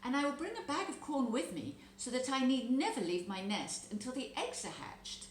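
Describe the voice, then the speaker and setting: Woman playing an earnest, naive duck, lilting higher voice. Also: very animated, normal speed. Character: naive, conscientious female duck. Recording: roomy echo, background noise.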